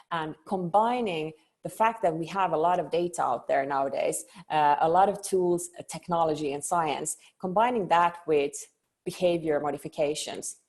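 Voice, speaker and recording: A middle-aged woman from Finland, giving an earnest conference presentation and pausing on frequent ums. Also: fairly low pitch, a little mellow. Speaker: company founder and conference speaker.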